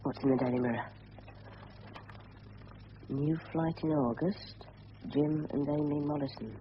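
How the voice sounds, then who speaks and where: Guy acting a teenage schoolboy, excitable, clipped, old radio drama, dull sound with clear hiss. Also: on the high side, a little slow, lightly acted. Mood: idle, musing. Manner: clipped, thinking aloud. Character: earnest English schoolboy in his teens, excitable, curious.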